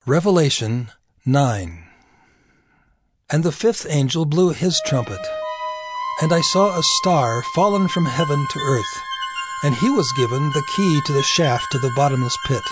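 A man narrating dramatized scripture in a solemn, measured storytelling manner. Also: fairly high pitch, expressive. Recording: music behind the voice.

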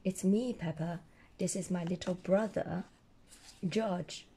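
A woman voicing a curious, eager little girl pig from a children's storybook, in a hoarse voice. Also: lowish voice, slow, mellow, slight background noise. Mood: friendly. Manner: inquisitive, eager.

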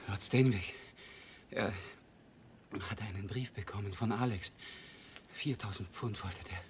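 An actor playing a shaken, injured petty crook in a German radio crime play, weak and dazed, halting and repeating himself. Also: male voice, fairly low pitch, natural voice. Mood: shaken, insistent. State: weak, dazed, struggling to speak. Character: injured, shaken small-time male crook. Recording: noisy old radio play recording.

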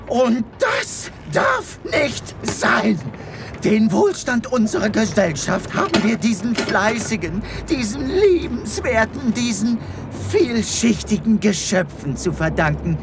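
Casting: effusive, overeager bird official, comic sci-fi radio play, huge melodic swings, unhurried delivery. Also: female voice, on the high side, sing-song, loud music behind the voice, exaggerated voice. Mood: fervent. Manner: effusive, stammering, clucking over words. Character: gushing, overeager chicken health official, devoted to knitting creatures.

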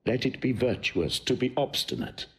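An actor playing a proud, vengeful Roman general torn by family love, declaiming gravely and with passion in a classical Shakespearean audio drama. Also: male voice, medium pitch, moderate pace, lightly acted. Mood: anguished, wavering. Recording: faint background noise.

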